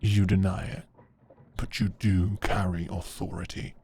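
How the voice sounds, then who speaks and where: A man playing a stern, shrewd knight in a medieval audio drama, calm, measured and knowing. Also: low voice, a little hiss or hum. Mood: shrewd, appraising. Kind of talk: dramatic audio roleplay acting.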